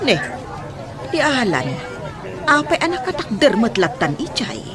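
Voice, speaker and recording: A woman playing a bossy but warm-hearted shopkeeper aunt in an Ilocano radio drama. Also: sits high, sing-song, moderate pace, a crowd in the background. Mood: brisk, bossy.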